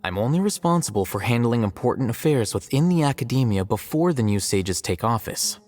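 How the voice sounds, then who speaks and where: An actor playing an aloof, blunt young scholar in a video game, deadpan, matter-of-fact and dismissive. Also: male voice, mid-range voice, a little fast, lightly acted. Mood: detached. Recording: faint music behind the voice.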